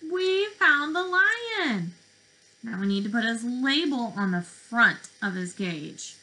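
A woman in her twenties, a speech therapist, reading to preschoolers in an animated, questioning way, recorded on a webcam in a bedroom. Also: fairly high pitch, slow, slight background noise, rough recording. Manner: animated, questioning delivery for young children. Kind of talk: interactive children's read-aloud lesson. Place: small bedroom indoors.

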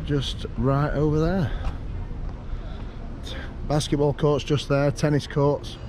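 Chatty man with a mellow voice. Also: fairly high pitch, slow pace, a little hiss or hum. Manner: relaxed, chatty, pointing things out. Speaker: vlogger. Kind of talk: casual walking tour commentary. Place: outdoors walking around a lakeside town.

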